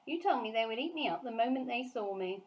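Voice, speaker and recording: A woman's voice: fairly high pitch, lively intonation, fast talker, highs cut off, voice pushed up, lightly acted. A woman voicing a small orphan girl in a storybook, earnest, anxious and full of plaintive questions. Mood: pleading, insistent.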